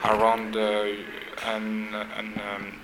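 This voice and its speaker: A man interviews in a low, flat voice, pausing often as he searches for words. Loud ambient noise fills the background behind him. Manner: hesitant, searching for words, many fillers. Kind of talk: exhibition interview.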